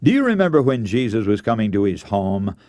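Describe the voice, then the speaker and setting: Animated male radio preacher. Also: lowish voice, fairly quick, dry recording, studio quality. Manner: earnest, emphatic storytelling with rhetorical questions. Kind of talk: radio sermon.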